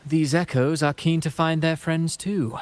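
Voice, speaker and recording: An actor playing a courteous, wordy playwright with eloquent, poetic and measured phrasing in a video game. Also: male voice, on the high side, fairly even intonation, background noise, natural voice. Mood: helpful. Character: courteous, wordy male playwright with a theatrical flair. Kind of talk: scripted game dialogue. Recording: music playing behind the voice.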